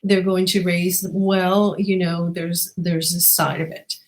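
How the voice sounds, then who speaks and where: A woman's voice: on the low side, flat delivery, takes it slowly. A woman hosting a casual, conversational interview, engaged and affirming as she asks and responds. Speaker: interviewer, host.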